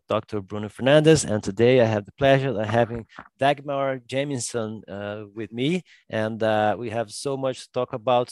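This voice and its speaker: A man hosting an interview podcast who asks questions haltingly, with many fillers and repeated words. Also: medium pitch, a little mellow, no room sound. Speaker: podcast host. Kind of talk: conversational interview.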